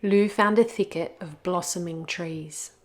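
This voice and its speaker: Slow-paced woman reading a children's story. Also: lowish voice. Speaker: storybook read-aloud host. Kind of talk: expressive children's story narration.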